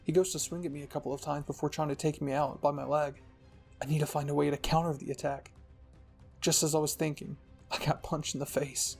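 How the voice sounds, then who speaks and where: A man's voice: a little high, little pitch movement, brisk, creaky, slightly rough. A man narrating a scripted fan-fiction story dramatically, as the host of a storytelling channel. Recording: music behind the voice.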